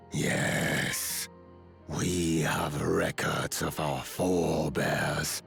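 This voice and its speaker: Huge old giant elder in a game, super deep, gravelly, drags every word out slow. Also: male voice, full character voice. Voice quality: low gravelly voice. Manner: slow, formal, archaic speech. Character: towering ancient giant elder, solemn and grave.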